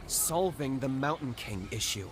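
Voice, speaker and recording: A man acting as a stoic young game warrior, terse and composed. His mid-pitched voice stays matter-of-fact and resolute, with loud music playing behind it. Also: lively intonation, measured pace, close to an everyday voice. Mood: firm, composed.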